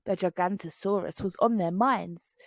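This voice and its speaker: A woman's voice: fairly low pitch, normal speed, no room sound. A woman reading a children's picture book aloud in a dramatic, rhythmic, singsong way. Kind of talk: expressive storybook read-aloud.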